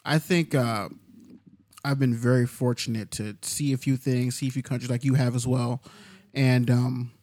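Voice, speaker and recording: Texas-raised man co-host, mid pitch, frank and opinionated, dry close sound. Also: fairly even intonation, moderate pace, a little mellow, no room sound. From Houston, Texas. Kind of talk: casual discussion.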